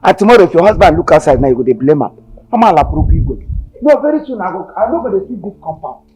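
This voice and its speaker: A man acting as a grumbling compound sweeper who rants loudly in Nigerian Pidgin. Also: sits high, very animated, fast talker, slightly rough, full character voice, low-quality recording. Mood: ranting. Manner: loud, grumbling rant. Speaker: actor in a comedy skit.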